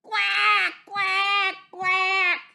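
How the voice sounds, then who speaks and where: Woman putting on a shrill, fretful mother duck. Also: little pitch movement, very slow, gravelly, sharp tone, full character voice. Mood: enraged. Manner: shrill, wailing, quacking.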